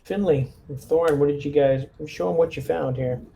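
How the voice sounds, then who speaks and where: Mellow-voiced man playing a tabletop game. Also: fairly high pitch, normal speed, creaky, slight background noise. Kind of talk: casual in-character game chat.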